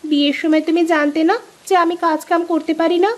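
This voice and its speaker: A woman's voice: very high-pitched, level tone, quick pace. A woman voicing a vain, touchy young village wife in a cartoon. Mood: offended. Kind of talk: cartoon dialogue acting.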